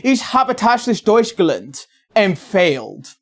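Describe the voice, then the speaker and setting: A video essayist narrating a scripted comedic commentary in an expressive, theatrical, sarcastic manner. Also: mid-range voice, measured pace. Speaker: video essayist, channel host.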